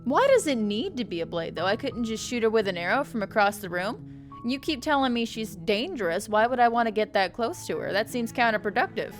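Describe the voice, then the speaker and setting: A woman's voice: fairly high pitch, expressive, a little fast, soft background music. A young adult woman streaming a game with casual commentary, rambling and joking with stammering restarts.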